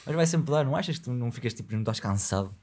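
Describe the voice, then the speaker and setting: A man with a European Portuguese accent hosting a podcast, talking frankly and rambling with lots of fillers, in a small studio on a boom-arm mic. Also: lively intonation, rapid-fire, darkish tone. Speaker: podcast host. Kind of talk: casual podcast chat.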